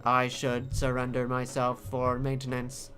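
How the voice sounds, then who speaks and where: Someone voicing an obedient feline robot in a visual novel game. The delivery is low-pitched, stilted and monotone, formal and literal, with music playing behind it. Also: a little slow, natural voice. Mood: flat, disoriented. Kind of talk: visual novel read-aloud, in character.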